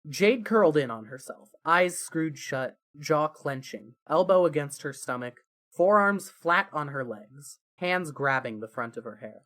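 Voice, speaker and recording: Mid-pitched storyteller reading fan fiction dramatically. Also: expressive, a little slow, fairly bright tone. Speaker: narrator. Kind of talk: scripted fan fiction narration.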